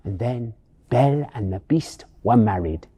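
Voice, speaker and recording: A man tells a scripted fairy tale with pantomime flair. His pitch swings expressively from phrase to phrase, and his voice is mellow and a little husky. The recording is dry and close. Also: measured pace, no room sound. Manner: theatrical, expressive. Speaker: storyteller. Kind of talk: scripted bedtime story narration, pantomime flavour.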